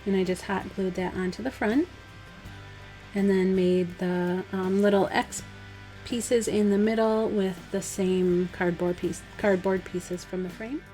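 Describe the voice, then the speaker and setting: A woman with a slightly hoarse, dry voice, casually talking through a DIY craft project step by step. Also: mid-range voice, a little slow, faint music behind, rough recording. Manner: relaxed, chatty, step-by-step instructions with fillers. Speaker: craft vlogger. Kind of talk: casual DIY tutorial voice-over.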